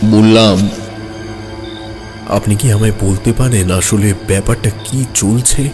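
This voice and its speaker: A man narrating a scripted horror story in the first person, measured and suspenseful. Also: mid-range voice, darkish tone. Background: background music.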